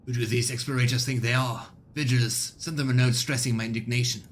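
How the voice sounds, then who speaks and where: A man's voice: medium pitch, fairly even intonation, fast talker, slight background noise, natural voice. A man voicing a haughty lord captain in a video game, imperious and indignant.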